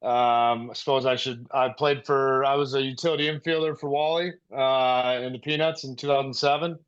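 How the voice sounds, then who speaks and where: A man's voice: medium pitch, steady tone, moderate pace, clear, resonant tone. An American man in his forties, chatting casually on a podcast in an earnest, hesitant way, as a coach and former player. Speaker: high school baseball coach, former player. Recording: slightly dull, lo-fi call audio.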